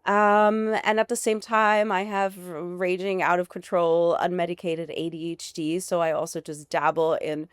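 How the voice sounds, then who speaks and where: A woman with a slight German accent talks as a guest on a podcast, chatty and enthusiastic. Her voice sits a little low and sounds smooth and clear. The sound is dry and close to the mic. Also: little pitch movement, normal speed, few pauses, no room sound. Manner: enthusiastic, chatty, restarts and fillers. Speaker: guest, founder of an alcohol-free bar. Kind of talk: casual interview chat.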